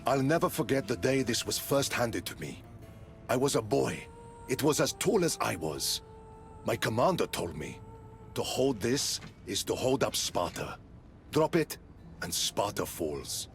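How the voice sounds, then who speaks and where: Solemn Spartan general, gravelly and animated. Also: male voice, mid-range voice, a little slow, soft background music. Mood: solemn, troubled. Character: proud dead Spartan general, wounded in spirit, honorable. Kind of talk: dramatic video game acting.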